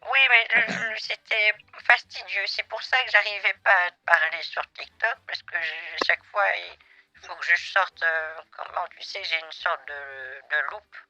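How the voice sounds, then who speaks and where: A man's voice: high voice, bright, forceful tone, no echo, lo-fi sound, soft background music, voice pushed up, exaggerated voice. A man putting on a doddering old man. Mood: plodding. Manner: hesitant, stammering, drawn-out. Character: elderly man, poor eyesight, slow and doddering. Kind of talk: prank phone call.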